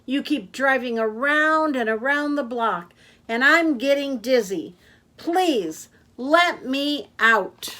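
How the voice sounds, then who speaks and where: A woman's voice: sits high, animated, slow, sharp tone, pitched above the performer's own voice, heavily acted. Woman doing a timid, worried walrus. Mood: pleading.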